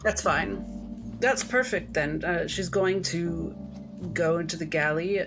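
A woman's voice: lowish voice, takes it slowly. A woman playing a tabletop game, describing her character's actions in a musing way. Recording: music under the voice.

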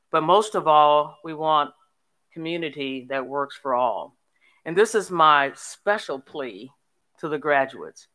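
Bright, clear-voiced older woman giving a speech. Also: sits low. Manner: earnest, emphatic, deliberate phrasing. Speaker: commencement speaker. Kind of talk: formal inspirational public speech. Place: on stage in a large hall at a graduation ceremony. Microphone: podium microphone.

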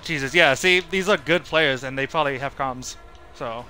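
A man's voice: mid-range voice, normal speed, sharp tone. A young man giving live gameplay commentary as a streamer, muttering to himself in short fragments. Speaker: game streamer. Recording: game music and sounds behind. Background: horror game music and effects.

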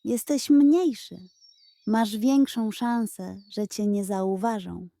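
A woman's voice: medium pitch, slow pace, gravelly, warm tone, no room sound, studio quality. A woman voicing a brave male bison sheriff in a children's western story, decisive and commanding. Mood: resolute.

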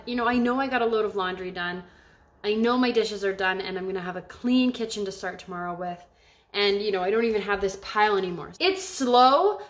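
A woman's voice: fairly high pitch, a bit bright. A woman in her thirties, a home cleaning vlogger, talking in a chatty, upbeat, encouraging way to the camera from her bedroom. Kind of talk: casual chat to camera and voice-over.

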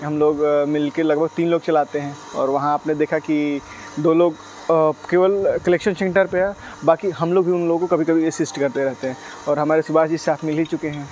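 A man's voice: fairly high pitch, flat delivery, normal speed, darkish tone, slight background noise, low-quality recording. A man in his thirties, outdoors at night beside a delivery van, explaining a dairy business at length with frequent "uh" fillers and English business terms. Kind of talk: casual interview answers.

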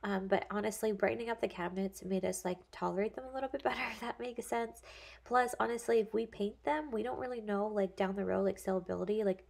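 A woman's voice: mid-range voice, quick pace, gravelly. A woman in her thirties, a home vlogger, chatting casually and filling her talk with "like" and "honestly". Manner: chatty, relaxed, many "like" and "honestly" fillers. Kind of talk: casual chat.

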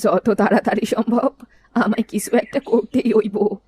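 A woman voicing a scheming old crone in a storybook cartoon, drawling slowly in a rural eastern Bengali dialect. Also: a little high, fairly even intonation, darkish tone, breathy edge. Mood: brooding, scheming. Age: older. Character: scheming old village crone lurking in a forest.

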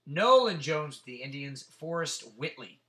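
A man with a raspy, sore throat hosting a casual card-breaking stream, chatting and swearing as he reels off player names. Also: fairly high pitch, big pitch swings, unhurried, a bit bright. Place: tabletop card-breaking setup indoors.

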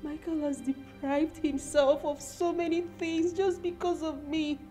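A woman's voice: sits high, fairly even intonation, measured pace, dark tone, soft background music. An actress playing a loyal, insistent young woman in a film drama. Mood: earnest.

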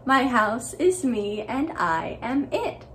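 A carefree, contented man from a picture book, as a woman storyteller puts him on. The voice is high and leisurely, easygoing about everything, with faint noise behind it. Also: very animated, slow, fairly bright tone, exaggerated voice. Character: carefree, unbothered male homeowner, dreamy and contented.

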